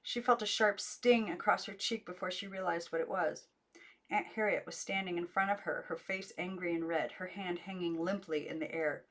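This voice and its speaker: Female amateur audiobook narrator, lower-pitched, scripted children's fiction read aloud. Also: fairly even intonation, normal speed, fairly bright tone.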